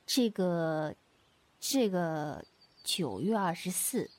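Woman playing young female martial disciple, very animated, clouded voice, playful, earnest, coaxing, wuxia audio drama. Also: fairly low pitch, very slow, full character voice. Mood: sheepish, coaxing. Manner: hesitant, coaxing, theatrical. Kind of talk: Chinese wuxia radio drama acting.

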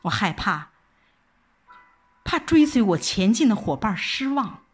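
Female audio drama narrator, reflective and unhurried, highly melodic phrasing, soft music bed. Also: breathy edge. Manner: expressive, reflective recitation with deliberate pauses. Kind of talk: dramatic scripted first-person narration. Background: background music.

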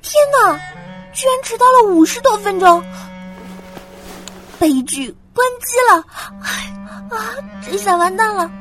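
A woman's voice: extremely high pitch, lively intonation, measured pace, long pauses, a bit bright, heavily acted. A young woman acting an earnest, plaintive female law student in an audio drama. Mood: panicked, tearful. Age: young adult. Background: music with guitar.

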